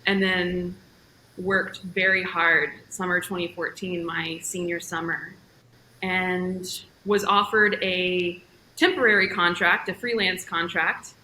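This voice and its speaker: Bright-voiced young woman telling her story. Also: lowish voice, roomy sound, slight background noise, low-quality recording. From grew up in Jordan and Ethiopia. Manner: lively, quick storytelling. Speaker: journalist guest. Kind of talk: casual interview, storytelling. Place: at home, indoors.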